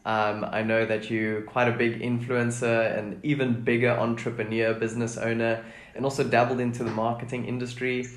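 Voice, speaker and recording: A man's voice: medium pitch, flat delivery, moderate pace. A man hosting a podcast, asking eager, friendly questions with many fillers. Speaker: podcast host. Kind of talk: casual interview. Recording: echoey room.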